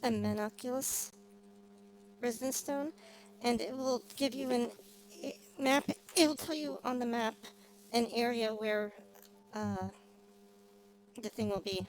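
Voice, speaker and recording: Higher-voiced woman streaming a game. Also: a little slow, long pauses, slight background noise, poor audio. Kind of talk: casual livestream gameplay commentary. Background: game music.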